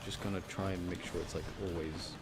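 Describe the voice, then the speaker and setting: A man's voice: sits low, fairly even intonation, a little fast, very noisy. A man giving casual, chatty live commentary as he streams a video game, talking to his viewers. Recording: music behind the voice.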